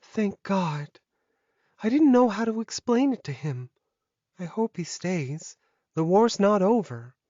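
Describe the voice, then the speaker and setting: A man voicing an anxious young werewolf woman in an audiobook, soft, earnest and plaintive. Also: sits high, lively intonation, slow, no room sound, muffled recording, pitched above the performer's own voice. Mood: relieved, worried.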